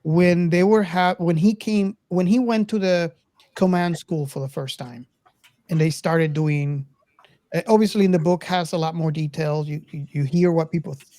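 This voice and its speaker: A woman hosting a casual film discussion, speaking haltingly and repeating words as she goes. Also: lowish voice, fairly even intonation, dark tone, no echo. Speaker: podcast host.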